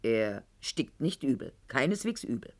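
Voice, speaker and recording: An actress playing a proud, wounded middle-aged aristocratic lady in a radio play monologue, articulate and ironic, with weighted pauses. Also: very deep voice, very animated, vocal fry, full character voice. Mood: haughty, animated.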